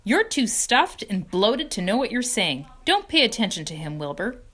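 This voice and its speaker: A woman's voice: medium pitch, big pitch swings, moderate pace, a bit bright, pitched above the performer's own voice. A woman voicing a wise female spider who scolds firmly, reading a storybook. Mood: scolding. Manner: scolding, firm.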